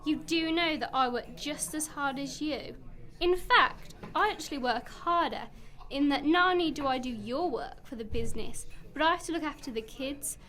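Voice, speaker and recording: A woman's voice: sits high, moderate pace, sharp tone. A woman in an amateur radio play acting a suspicious, exasperated wife, accusing and sarcastic. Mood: angry, reproachful.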